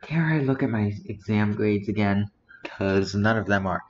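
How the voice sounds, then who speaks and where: A man's voice: on the low side, normal speed. A teenage boy streaming, muttering to himself as he browses and reads titles aloud. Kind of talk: casual live-stream commentary. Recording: dull lo-fi audio with faint noise.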